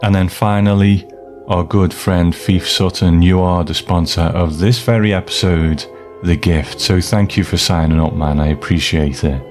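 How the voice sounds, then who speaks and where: A man's voice: sits low, steady tone, moderate pace, darkish tone, faint music behind. A man hosting a podcast, talking casually and chattily to his listeners in an informal monologue. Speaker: podcast host.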